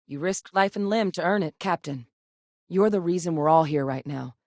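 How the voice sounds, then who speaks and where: An actress playing a composed, frank military scientist in a space video game, speaking in a measured, articulate way. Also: female voice, lowish voice, darkish tone, no echo, studio quality, lightly acted. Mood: composed, serious. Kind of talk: acted video game dialogue.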